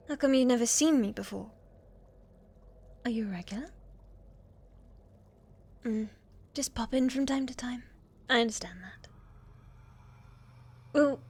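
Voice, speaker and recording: A woman's voice: a little high, slightly rough. A woman playing a shy, sweet young witch's apprentice in an ASMR fantasy roleplay, polite, hesitant and eager to please. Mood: shy, welcoming.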